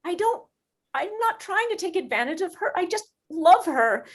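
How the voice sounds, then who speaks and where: A woman's voice: very high-pitched, big pitch swings, normal speed. Woman playing a lovestruck, defiant rich boss. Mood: pleading. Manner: emphatic, indignant, swooping. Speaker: improv performer. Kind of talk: improvised stage comedy.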